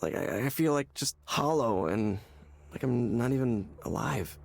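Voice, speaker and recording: An actor playing a despairing, hollow man in a visual novel game, speaking haltingly and repeating words as he searches for them. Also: mid-range voice, animated, gravelly, no echo, studio quality. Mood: hollow, dejected. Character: despairing, hollow man confiding in a counseling session.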